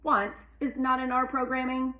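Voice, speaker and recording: A woman's voice: high voice, little pitch movement, normal speed, sharp tone, voice pushed up. A woman voicing a literal-minded robot rover in a storybook, stilted and emphatic, in a roomy recording. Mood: flatly dismissive. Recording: roomy, echoey sound.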